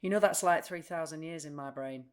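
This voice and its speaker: A woman's voice: low-pitched, brisk, natural voice. An actress playing a blunt, restless, literal-minded woman in audio play dialogue. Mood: dismayed.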